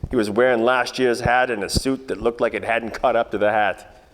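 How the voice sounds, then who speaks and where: A man's voice: mid-range voice, fairly even intonation, fairly quick, a bit bright, close to an everyday voice. A man playing a wisecracking, cocky 1940s private detective with wry, world-weary slangy delivery in a radio noir parody. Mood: guarded, wry. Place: theatre production shot before green screen. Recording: echoey hall, faint noise.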